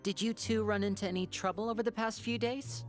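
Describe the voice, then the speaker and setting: An actor playing a composed, watchful young man in a video game, speaking politely and deliberately, with music behind. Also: high-pitched, steady tone, lightly acted. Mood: composed, businesslike. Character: composed, courteous, watchful young man, protective older brother.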